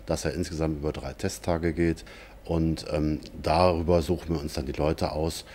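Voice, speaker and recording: A man with an extremely deep voice giving a calm, businesslike statement for a news report. Faint background noise sits under his words. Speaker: police official. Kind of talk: interview soundbite.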